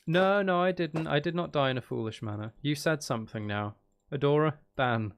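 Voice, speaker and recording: A man's voice: fairly high pitch, moderate pace. A man chatting casually as he hosts a live gaming stream, with playful, teasing banter aimed at his viewers. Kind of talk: casual gaming livestream chat.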